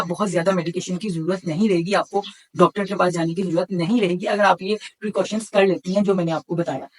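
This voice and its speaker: A middle-aged woman talks like a doctor presenting to patients. Her pitch is average for a woman. She explains things instructively, laying out points one after another and restating them. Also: low-quality recording. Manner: instructive, listing points with repetition. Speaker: homeopathic doctor presenting health advice. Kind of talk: explanatory health talk to camera.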